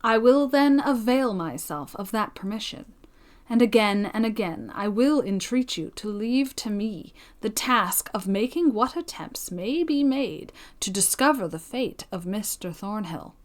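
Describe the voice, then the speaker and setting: A woman reading a solemn, courtly gentleman. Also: mid-range voice, animated, measured pace, natural voice. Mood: entreating. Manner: formal, solemn entreaty. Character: courteous earnest young gentleman suitor. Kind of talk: audiobook character reading.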